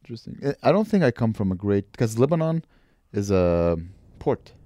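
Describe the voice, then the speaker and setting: A man's voice: on the low side, big pitch swings, brisk, mellow, dry recording, studio quality. A man co-hosting a comedy podcast in casual chat, riffing freely, swearing and acting out little quotes.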